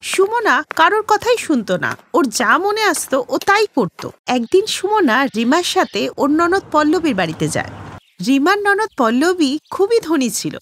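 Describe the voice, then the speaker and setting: A woman's voice: very high-pitched, very animated, moderate pace, fairly bright tone, slight background noise. A woman reading a scripted moral story for children as the storyteller.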